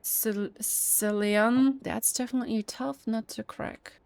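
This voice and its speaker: A woman's voice: fairly high pitch, fairly even intonation, measured pace, gravelly, darkish tone, smooth, dry recording. A woman casually commenting on a game playthrough, musing and repeating the dialogue aloud. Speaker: gameplay commentator.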